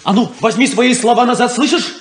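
Boyish hothead son, very high-pitched, echoey, vintage radio play. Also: male voice, brisk, slight background noise. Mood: furious. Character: hot-headed, boyish, wounded younger son. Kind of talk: 1970s radio play acting.

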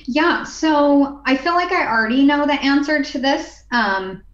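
A woman's voice: high-pitched, measured pace, a bit bright. A woman in her thirties co-hosting a casual talk show from a room at home over a video call. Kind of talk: casual conversational interviewing. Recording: echoey, dull video-call audio.

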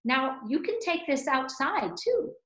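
A woman in her fifties speaking as a children's storyteller, with a highish, very animated voice. She phrases things slowly and emphatically, recorded in a roomy space at home. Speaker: storyteller and presenter. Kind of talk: expressive storytelling for young children. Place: room at home. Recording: roomy indoor echo.

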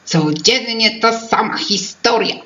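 A woman's voice: fairly high pitch, slow pace, pushed and bright, echoey room, muffled recording, voice pushed up. A woman voicing a grumpy, weary male fairy-tale teapot who grumbles sulkily. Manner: sulky, grumbling.

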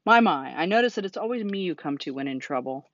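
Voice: mid-range voice, lively intonation, quick pace, a bit bright, dry recording, dull audio. A reader putting on a grumbling, sarcastic storybook rat. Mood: mocking. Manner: mocking, exaggerated complaining. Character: grumbling, sarcastic, self-pitying male rat. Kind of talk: children's storybook character reading.

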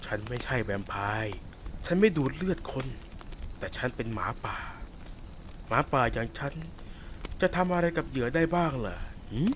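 Some guy doing a cruel werewolf holding the listener captive, talks soft and teasing, mid-pitched voice, menace underneath. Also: big pitch swings, normal speed, slight background noise, natural voice. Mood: taunting. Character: sadistic, possessive male werewolf captor. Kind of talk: roleplay audio drama addressed to the listener.

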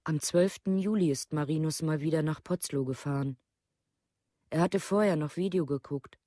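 A woman's voice: deep voice, moderate pace, dark tone, no room sound. Radio drama: grieving mother, simple restrained recollection, very dry recording. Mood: restrained, wistful. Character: grieving village mother remembering her youngest son. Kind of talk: documentary radio drama monologue.

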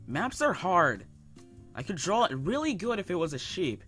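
A man voicing an awkward, excitable and cocky teenage slacker hero in a comic dub. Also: high-pitched, moderate pace, faint music behind. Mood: awkward, casual.

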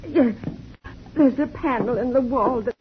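A woman's voice: fairly high pitch, measured pace, close to an everyday voice. An actress playing an anxious, grieving woman in a 1950s radio drama, with a dull vintage sound and faint hiss. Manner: fretful and tearful.

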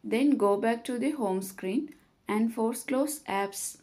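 A woman reading scripted step-by-step tutorial narration in a measured, instructional manner. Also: on the high side, mellow.

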